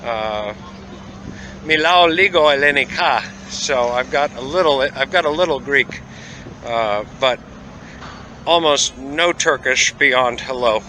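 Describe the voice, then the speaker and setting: A man's voice: mid-range voice, bright, forceful tone, a little hiss or hum, low-quality recording. Easygoing man rambling outdoors by a waterfront. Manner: rambling, many "uh" pauses, easygoing. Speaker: travel vlogger. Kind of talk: casual travel vlog narration.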